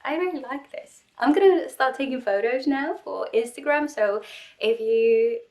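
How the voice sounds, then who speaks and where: A woman's voice: high-pitched, darkish tone. Sniffly young woman rambling about her cosplay. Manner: rambling, self-deprecating, halting restarts. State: stuffy with a cold, sniffling. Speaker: cosplay vlogger. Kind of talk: casual vlog talk.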